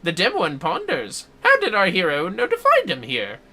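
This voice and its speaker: A woman's voice: fairly low pitch, huge pitch swings, moderate pace, pushed and bright, soft background music, exaggerated voice. A woman voicing a flamboyant male shinobi villain from a game, gleefully mocking, grandiose and theatrical in manner. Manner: grandiose, taunting, talks in third person. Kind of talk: theatrical game dialogue read aloud.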